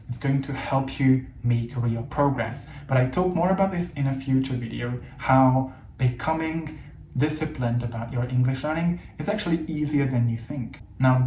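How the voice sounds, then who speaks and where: A man's voice: mid-range voice, a little mellow, slight background noise. A man in his thirties, a native Hungarian speaker, teaching English learners in an earnest, encouraging way. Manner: earnest, encouraging, emphatic lists. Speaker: English coach. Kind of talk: motivational teaching monologue. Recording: echoey room with faint ambience.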